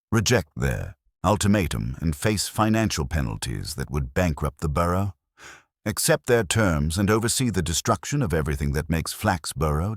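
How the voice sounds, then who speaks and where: Man reading as a weary, deep-voiced mayor. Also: dry recording, clean studio sound, full character voice. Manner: formal, weary. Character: weary, beleaguered male town mayor. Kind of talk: detective story dialogue.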